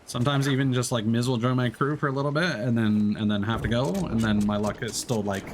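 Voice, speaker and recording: A man's voice: mid-range voice, steady tone, a little fast. A man streaming a game, chatting casually and explaining fishing tips with lots of fillers and restarts. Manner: rambling, hesitant, many fillers and restarts. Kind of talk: casual gameplay chat. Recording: faint background noise.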